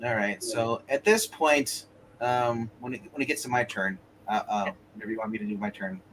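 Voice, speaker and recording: A man's voice: mid-range voice, normal speed, fairly bright tone, a little hiss or hum, low-quality recording. A man playing a tabletop roleplay game, speaking in casual banter.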